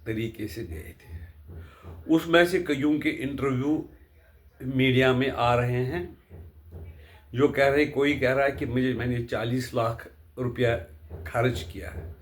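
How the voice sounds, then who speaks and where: Slow-paced man giving commentary. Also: mid-range voice. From India. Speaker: political commentator. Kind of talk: unscripted political news commentary.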